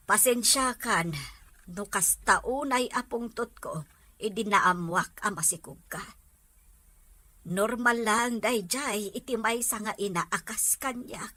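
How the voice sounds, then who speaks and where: Slow-speaking, caring mother, played by a woman. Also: fairly high pitch, pushed and bright, dry recording, heavily acted. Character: caring, patient, practical mother of a grown daughter. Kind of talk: dramatic dialogue in a serial drama.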